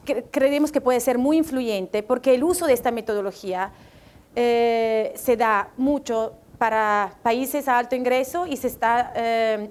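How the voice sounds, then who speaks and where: A woman from Italy speaking Spanish with an Italian accent, presenting a report at a public event and often pausing to search for words. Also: on the high side, a little mellow. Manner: hesitant, searching for words, light self-deprecating laughs. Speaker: World Bank economist presenting a report.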